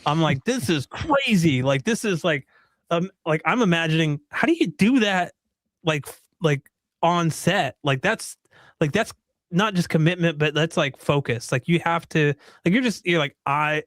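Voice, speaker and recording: Higher-voiced male podcast co-host, chatty, filler-heavy, dry sound. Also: animated, normal speed, a bit bright, dry recording. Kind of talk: casual chat about movies.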